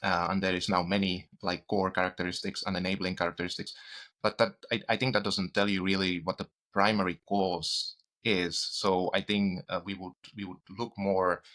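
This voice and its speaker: A man, a medical researcher interviewed as a guest, explaining technical ideas in a hesitant, halting way. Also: deep voice, flat delivery, a bit bright. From Czech Republic, grew up in Spain. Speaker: guest, doctor and cancer researcher.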